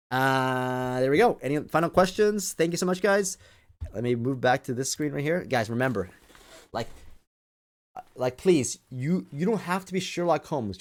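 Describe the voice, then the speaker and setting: A man hosting a livestream with casual live commentary, speaking in repetitive, stop-and-start phrases and often addressing his listeners directly. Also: mid-range voice. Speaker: livestream host.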